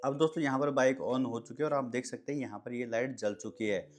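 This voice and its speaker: A man's voice: mid-range voice, fast talker. A man giving a casual, step-by-step product review, addressing viewers as friends. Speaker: product reviewer and video host.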